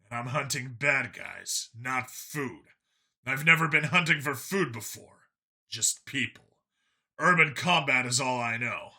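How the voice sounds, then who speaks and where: A man plays a stubborn, gruff cop in an audiobook story. His delivery is dry and deliberate, with a very tense, hard-edged tone and lively swings in pitch. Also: heavily acted. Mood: defensive. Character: gruff, stubborn human cop and urban gunfighter, male. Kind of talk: acted audiobook dialogue.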